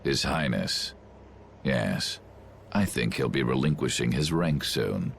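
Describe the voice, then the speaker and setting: An actor playing a scheming middle-aged knight commander in a game, pompous, condescending and theatrical. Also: male voice, very deep voice, expressive, takes it slowly, creaky, slight background noise, heavily acted. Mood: haughty, sardonic. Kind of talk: English game dub acting.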